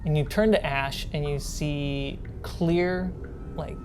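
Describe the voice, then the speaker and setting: A woman game master narrating a tabletop horror game in a descriptive, casual way with pauses. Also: deep voice, fairly even intonation, background noise. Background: music.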